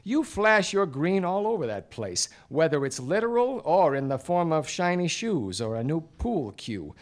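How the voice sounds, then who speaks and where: A confident 1940s radio gumshoe, laying out accusations with theatrical relish. His pitch sits a little high and swings widely through slangy monologues. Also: big pitch swings, normal speed. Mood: dramatic, accusing. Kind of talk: 1940s radio play acting. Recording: live radio play before studio audience.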